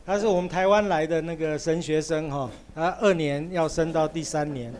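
A man's voice: fairly high pitch, fairly even intonation, fairly quick, a little mellow. A man leading a church service, praying fervently and then introducing the next speaker informally. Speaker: service leader.

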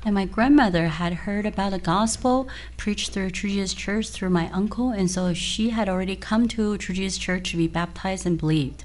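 A woman interpreting a church testimony sentence by sentence, in a plain and steady way. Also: medium pitch, moderate pace, few pauses. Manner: plain, steady rendering with fillers.

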